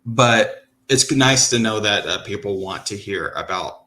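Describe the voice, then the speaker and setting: Bright-voiced man hosting a chatty podcast. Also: fairly low pitch, lively intonation, measured pace. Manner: chatty, loose, many fillers and restarts. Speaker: podcast host. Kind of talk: casual chat.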